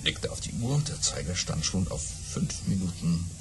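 An actor playing a boastful baron who spins tall tales with dramatic pauses and exclamations in an audio play. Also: male voice, sits low, very animated. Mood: suspenseful storytelling. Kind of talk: German audio-play storytelling.

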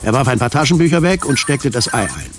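A man's voice: medium pitch, sing-song, quick pace. A man reading scripted literary narration as the narrator of a radio drama. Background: music.

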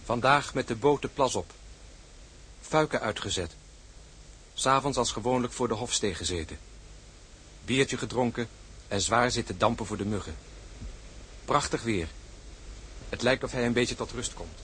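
Actor as a hearty ship's mate, noisy background. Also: male voice, mid-range voice, normal speed, pauses often, fairly bright tone, natural voice. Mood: quiet, reporting. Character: hearty, loyal ship's mate, blunt and joking. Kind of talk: Dutch radio drama acting.

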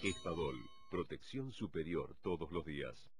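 A man's voice: very deep voice, expressive, a little slow. A man reading a scripted product advertisement. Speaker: ad announcer. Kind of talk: scripted ad read. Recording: voice over music.

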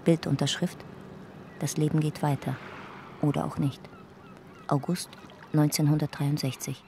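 A detached male narrator in a radio drama. His fairly high voice stays even through clipped, factual phrases. Clear noise runs behind him. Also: unhurried. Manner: clipped, matter-of-fact phrases. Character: detached narrator cataloguing details like a report.

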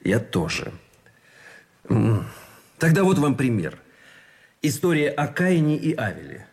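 An actor playing an earnest Russian army officer in a radio play, philosophizing and arguing through rhetorical questions. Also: male voice, mid-range voice, big pitch swings, a little slow, gravelly, a little mellow. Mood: earnest, persuasive.

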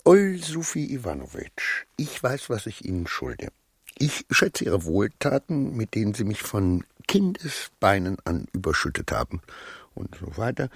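An actor in a radio play playing a flustered, proud, insecure minor clerk who rambles between pompous declarations and muttering. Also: male voice, lowish voice, wildly swooping pitch, a little slow, vocal fry, breathy edge, heavily acted. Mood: solemn, flustered.

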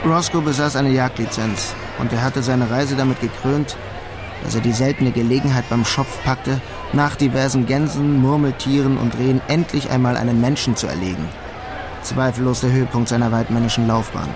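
A man playing a bitter New York criminal who narrates a crime radio play in a matter-of-fact, deliberate way. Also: mid-range voice, background noise, natural voice. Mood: grim. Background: music behind the voice.

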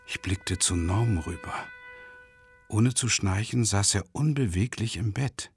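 Gravelly, tormented man, brooding. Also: on the low side, a little slow, soft background music. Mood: brooding, tense. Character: tormented, self-doubting, impoverished male travelling lecturer. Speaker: first-person narrator. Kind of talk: dramatic radio play monologue.

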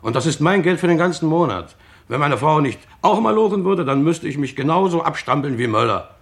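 A defiant working man in a radio crime drama. His voice is gravelly and swings widely in pitch as he argues in earthy, coarse words. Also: lively intonation, fairly quick. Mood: indignant. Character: defiant, blunt male factory worker, gruff and plain-spoken.

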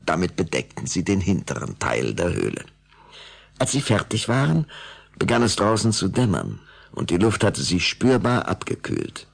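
A man's voice: low voice, sing-song, normal speed, creaky, husky. A man narrating a children's audio drama in German as a suspenseful storyteller.

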